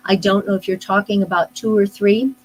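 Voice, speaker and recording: Woman pausing to think between phrases. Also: medium pitch, steady tone, slight background noise, poor audio. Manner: thoughtful, with frequent "uh" pauses and restarts. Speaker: speech-language pathologist guest. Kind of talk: live online interview, explaining casually.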